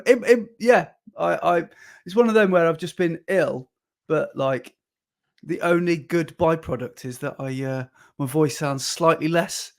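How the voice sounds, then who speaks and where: A man co-hosting a podcast. His voice is hoarse and deeper than usual from illness, and he jokes around playfully. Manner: jokey, animated, playful. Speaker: podcast co-host. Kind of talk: casual chat.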